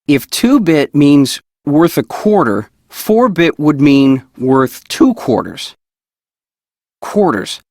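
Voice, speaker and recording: An actor playing a cocky, laid-back woodcarver in a mystery game, with a flippant, sarcastic, slangy way of talking. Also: male voice, high-pitched, darkish tone, no echo, studio quality, full character voice. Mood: eager, playful.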